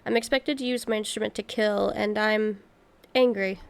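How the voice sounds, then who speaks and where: A woman voicing a gentle, sorrowful monster musician from a video game, pleading earnestly and haltingly. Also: mid-range voice, steady tone, creaky, natural voice.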